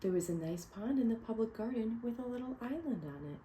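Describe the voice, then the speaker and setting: Woman teacher, picture-book read-aloud, encouraging, asks the class questions; mellow low tone. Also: expressive, a little fast, slight background noise. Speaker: teacher reading to children.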